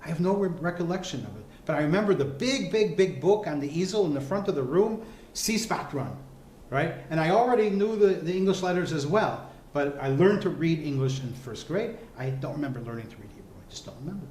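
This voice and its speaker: Animated man telling stories. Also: fairly high pitch, brisk, a little hiss or hum. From United States. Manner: animated storyteller, repeats words while thinking. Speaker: interviewee. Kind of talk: storytelling interview. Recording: echoey room.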